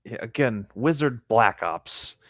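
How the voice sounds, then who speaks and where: A man's voice: mid-range voice, animated, slow, no echo. A man co-hosting a comedic read-aloud, reacting casually with wry, skeptical remarks. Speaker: co-host reading and reacting to fan fiction.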